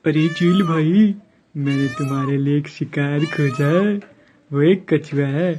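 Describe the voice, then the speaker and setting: A man's voice: on the high side, slow, darkish tone. A man voicing a sly, coaxing bad crow in a children's cartoon, with faint background noise. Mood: sly, eager. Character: sly bad crow, scheming villain's sidekick.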